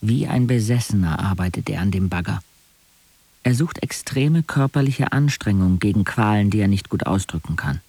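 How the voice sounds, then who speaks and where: An actor narrating a radio drama in the first person as a wry young man, measured, detached and literary. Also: on the low side, expressive, warm tone, no echo. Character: wry young male narrator recounting his friends' lives. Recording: clear music under the voice. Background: music.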